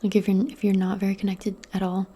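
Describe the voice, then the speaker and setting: A woman role-playing in soft-spoken ASMR, speaking gently and soothingly in a breathy, mostly whispered voice. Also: mid-range voice, monotone. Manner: gentle, soothing, unhurried, conversational. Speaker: ASMR performer role-playing a modern witch.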